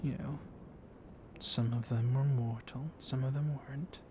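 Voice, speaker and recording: A man voicing a civil, softly dominant, centuries-old vampire librarian in an ASMR roleplay, hushed and often slipping into whispers. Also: medium pitch, a little slow, natural voice. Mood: soft, flirtatious. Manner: hushed, breathy, often whispering, teasing with soft chuckles. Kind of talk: ASMR roleplay, intimate one-sided dialogue. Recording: noisy storm ambience behind voice. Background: thunder and steady ambient noise.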